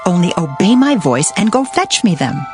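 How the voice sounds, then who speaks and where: A woman's voice: lowish voice, sing-song, normal speed, music in the background. A woman playing a scheming, commanding mother of grown sons in a dramatized scripture reading, urgent and formal.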